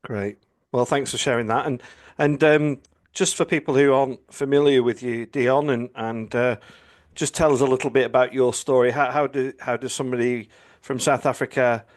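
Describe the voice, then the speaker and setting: A man's voice: medium pitch, steady tone, moderate pace. A man, a priest, hosting a podcast interview in a friendly way with hesitations and repeated words.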